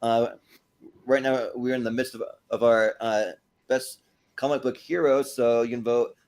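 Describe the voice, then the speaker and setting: A man with a mid-pitched voice hosts a casual panel show. He speaks in a halting, informal way, often repeating a word before finishing the thought. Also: fairly even intonation, darkish tone. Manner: halting, repeats words as they go. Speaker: host of a movie panel show. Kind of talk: casual panel chat and sign-off plugs.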